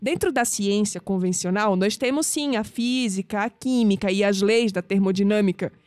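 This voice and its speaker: A woman with a Brazilian accent acting in an audio drama as a skeptical, science-minded young woman who speaks in measured, formal phrases. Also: medium pitch, a little mellow, no room sound, lightly acted. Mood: skeptical, reasoning. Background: music behind the voice.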